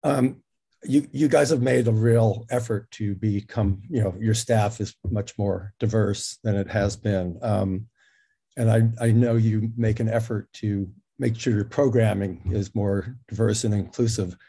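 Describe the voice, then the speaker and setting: Dark, husky-voiced male interviewer. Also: on the low side, steady tone, measured pace. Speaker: interviewer, moderator. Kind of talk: conversational interview questioning.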